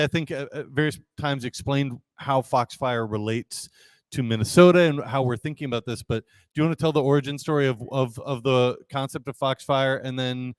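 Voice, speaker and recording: Smooth-voiced man hosting a live stream. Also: no echo. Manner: low-key, hesitant with restarts. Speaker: host.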